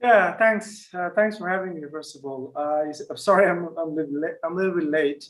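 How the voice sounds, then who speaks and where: A man's voice: fairly high pitch, brisk. A man from Finland speaking as a panel guest in a live online discussion, conversational with frequent fillers and restarts.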